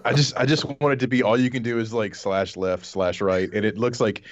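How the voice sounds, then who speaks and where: Lowish male podcaster, dry muffled sound. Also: fairly even intonation, brisk, dry recording. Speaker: podcast co-host. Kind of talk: casual podcast chat about video games.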